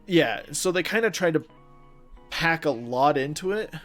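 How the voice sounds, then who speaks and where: A man's voice: on the high side, lively intonation, a little slow, a bit bright, faint music behind. A young man co-hosting a casual podcast, talking with enthusiasm and saying "like" a lot. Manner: enthusiastic, peppered with "like". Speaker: podcast co-host. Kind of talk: casual chat.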